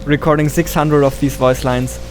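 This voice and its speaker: A man's voice: fairly high pitch, fairly even intonation, normal speed. A man hosting an indie game devlog in a casual, informal way with self-deprecating humor. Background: background music.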